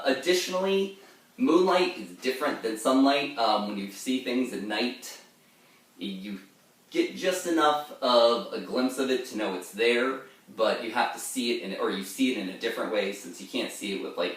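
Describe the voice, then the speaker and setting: A man's voice: fairly low pitch, a bit bright. A man giving an informal, rambling line-by-line explanation of a poem in a casual way. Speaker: reader and commentator of poetry. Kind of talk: informal line-by-line poem commentary with read-aloud verse. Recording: very echoey room, far from mic.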